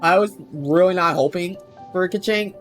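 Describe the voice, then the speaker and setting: A man chatting casually to viewers on a game livestream, rambling and halting as he doubles back on his sentences. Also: high-pitched, fairly bright tone. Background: game music.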